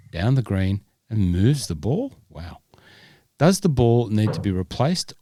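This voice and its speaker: A man's voice: fairly low pitch, dark tone, no echo, clean studio sound. A man co-hosting a golf podcast, chatting in a rambling, self-deprecating way. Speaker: podcast co-host. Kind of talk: casual podcast chat.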